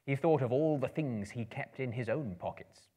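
A man in his thirties reading a story aloud dramatically, in a theatrical, expressive storyteller's manner. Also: mid-range voice, moderate pace. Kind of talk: dramatic book reading to a live audience. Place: indoor room with bookshelves, small live audience.

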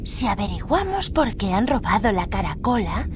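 A woman playing a sweet, hopeful teenage mermaid princess in a video game, earnest and expressive. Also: medium pitch, normal speed, background noise, close to an everyday voice. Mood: surprised, hopeful. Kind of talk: video game character acting.

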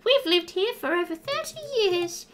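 A woman voicing a kindly, sentimental elderly grandmother from a game, speaking gently. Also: very high-pitched, animated, moderate pace, pitched above the performer's own voice. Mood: tender, touched. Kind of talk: reading game dialogue in character.

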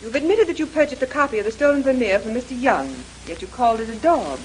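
A brisk lady detective, played by a woman in an old radio mystery, with a highish voice. She questions politely but with firm authority. The recording has a noisy background. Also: animated, natural voice. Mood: composed, firm. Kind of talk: 1930s radio mystery acting.

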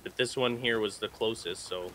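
A man's voice: on the low side, moderate pace, bright, forceful tone. A man joking around casually with a co-player while playing a video game. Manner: goofy, joking. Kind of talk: casual gameplay banter with a co-player. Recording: clear game noise behind voice. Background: video game sound effects.

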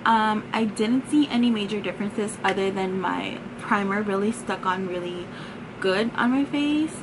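A woman in her thirties chatting casually through a home cooking and hacks vlog, upbeat and relaxed. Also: medium pitch, normal speed, background noise. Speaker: lifestyle vlogger. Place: home bedroom and kitchen.

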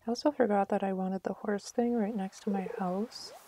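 A woman's voice: medium pitch, steady tone, moderate pace, mellow, slight background noise. A woman chatting casually on a live game stream, thinking aloud in a self-deprecating way.

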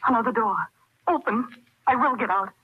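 Actress playing frantic confused woman killer, high voice, breathless fragments, old radio horror, very noisy recording. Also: normal speed, phone-quality sound, natural voice. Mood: panicked, dazed. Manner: breathless fragmented muttering, rising to cries. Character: panicked, confused woman murderer fleeing in darkness. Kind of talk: 1940s radio horror acting.

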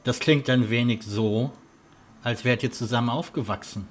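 A man playing a calm, friendly hunter and guide in a fan-made fantasy audio drama. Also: mid-range voice, moderate pace, slight background noise, lightly acted. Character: friendly, down-to-earth male hunter guide Jannis.

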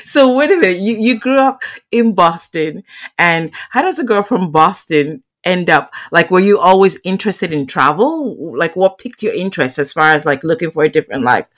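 A woman podcast host chatting casually and effusively with a guest, quick to react. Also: on the low side, animated. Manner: effusive, reacts with interjections and laughter.

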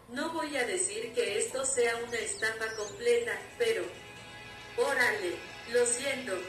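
A woman's voice: fairly high pitch, far from the mic. A woman giving an opinionated, wry voice-over about learning languages. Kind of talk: scripted voice-over commentary. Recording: roomy and off-mic with loud music.

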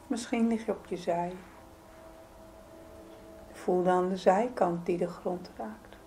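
A woman leading a guided yoga nidra meditation in a soothing, gentle, unhurried manner. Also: fairly low pitch, steady tone, a little hiss or hum. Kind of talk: guided meditation, calm spoken instruction.

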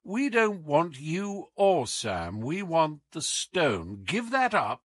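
A man voicing a sharp, commanding detective in an audiobook, coolly taunting, with deliberate, teasing emphasis. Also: a little high, wildly swooping pitch, dry recording, clean studio sound, voice pushed up, heavily acted. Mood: calm, persuasive. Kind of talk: audiobook character acting.

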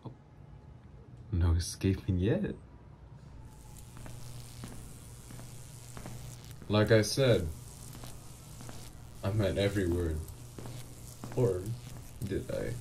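Low slow creaky voice, guy doing a teasing psycho villain ex who keeps calling you babe. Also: expressive, warm tone, a little hiss or hum, exaggerated voice, low-quality recording. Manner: teasing, calls listener babe. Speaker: roleplay voice actor. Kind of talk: ASMR roleplay audio.

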